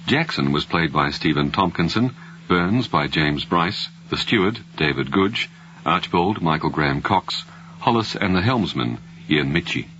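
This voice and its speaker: A man's voice: low-pitched, animated, measured pace, bright, forceful tone. A man reading cast credits as a radio announcer, in a formal scripted broadcast style. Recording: muffled radio broadcast, faint noise.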